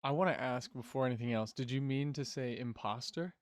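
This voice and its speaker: Male actor, medium pitch, very dry recording, casual joking delivery. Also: fairly quick, no room sound. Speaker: voice actor guest. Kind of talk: casual chat.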